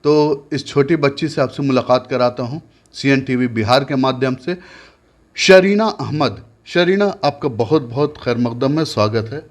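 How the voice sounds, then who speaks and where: A man's voice: medium pitch, a little slow. A man who narrates a news report in a formal tone and then interviews a guest, often hesitating when he speaks off script.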